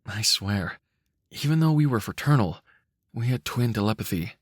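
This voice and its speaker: A man giving a measured, cinematic, dramatic narration of a dark short story. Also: lowish voice, expressive, dry recording, studio quality. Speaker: audiobook-style story narrator.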